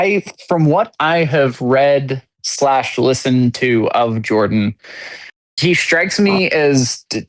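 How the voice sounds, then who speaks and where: A podcast co-host explaining a view in a measured, agreeable way during a casual theology chat. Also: mid-range voice, poor audio. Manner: measured and agreeable, gives short assents.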